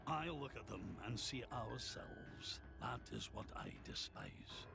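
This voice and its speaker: A man playing a stern, brooding military commander in a video game, cold, measured and formal. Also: mid-range voice, expressive, gravelly, over music. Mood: brooding disdain. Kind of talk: video game cutscene acting.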